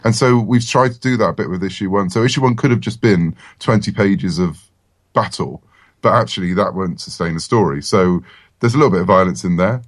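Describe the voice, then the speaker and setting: Male interview guest, slightly low pitch, casual and rambling delivery, keen and talkative. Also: fairly quick. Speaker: interview guest, comic writer.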